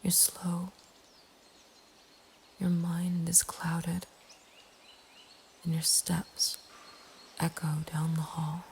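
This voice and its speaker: A woman narrating a scary story in a breathy, hushed ASMR whisper, slow and deliberate. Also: lowish voice, a little hiss or hum. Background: nature ambience.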